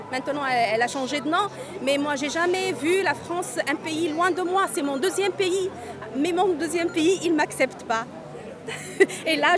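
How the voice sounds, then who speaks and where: High-voiced woman in her forties outdoors. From Tunisia. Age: 40s. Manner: earnest, repeats words and restarts often. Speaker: undocumented migrant interviewee. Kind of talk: street interview at a march. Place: outdoors at a street march. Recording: crowd noise outdoors. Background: crowd.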